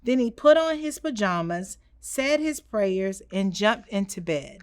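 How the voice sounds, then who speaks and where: A woman's voice: mid-range voice, animated, measured pace, smooth, dry recording. Woman reading a children's story, close dry sound. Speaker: storybook reader. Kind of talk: expressive children's read-aloud.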